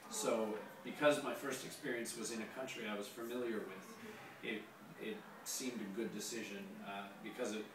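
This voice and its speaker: Hoarse man, echoey distant room. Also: fairly low pitch, steady tone, noisy background. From Canada. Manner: hesitant, many pauses, dry joking. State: hoarse, losing his voice. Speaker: teacher being interviewed. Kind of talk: casual interview answers.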